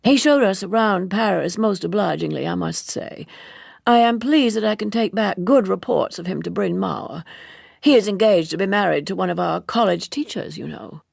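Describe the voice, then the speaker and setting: A woman's voice: medium pitch, moderate pace, gravelly, a little mellow, no room sound, clean studio sound. A woman voicing an earnest, opinionated young American woman in an audiobook novel, prim and gossipy. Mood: chatty.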